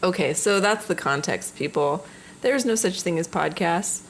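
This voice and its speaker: A woman's voice: lowish voice, expressive, normal speed, slight background noise. A woman co-hosting a podcast, chatting casually in a wry, joking way. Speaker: podcast co-host. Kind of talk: casual chat.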